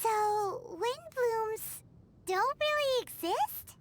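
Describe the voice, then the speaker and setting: A woman voicing a tiny childlike fairy companion in a video game, squeaky, cheeky and excitable. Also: drawn-out words, a little hiss or hum, heavily acted. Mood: curious. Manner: cheeky, exclamatory. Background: music.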